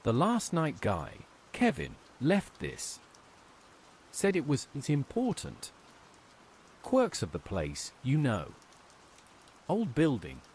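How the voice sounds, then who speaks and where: A man plays a weary gas station owner in a horror story. He speaks haltingly and evasively, with a lively, shifting pitch, over faint rain. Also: slight background noise. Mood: uneasy, offhand. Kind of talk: horror story character dialogue.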